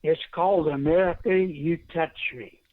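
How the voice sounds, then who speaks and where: A man's voice: fairly high pitch, fairly even intonation, normal speed, no room sound. Older man on a tinny phone line. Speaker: guest author and poet. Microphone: telephone call.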